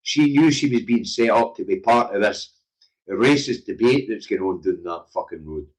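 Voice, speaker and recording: Man, Glaswegian Scottish accent, lowish pitch, husky, blunt, opinionated, conversational livestream commentary. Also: animated, normal speed, low-quality recording. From Scotland. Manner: blunt, emphatic, sweary, conversational. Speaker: livestream current affairs show host. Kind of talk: opinionated casual live commentary.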